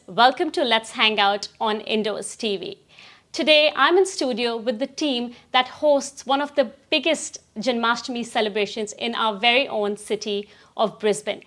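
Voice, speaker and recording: A woman's voice: fairly high pitch, a bit bright. A woman hosting a talk show, presenting and putting questions to guests in a studio interview style.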